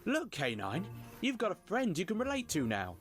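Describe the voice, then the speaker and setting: A man's voice: high-pitched, huge pitch swings, moderate pace, a bit bright, soft background music, full character voice. A man playing a cheeky, wide-eyed teenage boy with a casual manner in a sci-fi audio drama. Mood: amused, excited.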